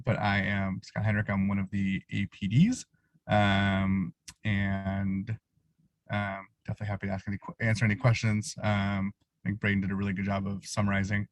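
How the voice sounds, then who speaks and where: A man introducing himself on a video call from his parked car, relaxed and reassuring, with many fillers. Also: fairly low pitch, no echo. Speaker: associate program director.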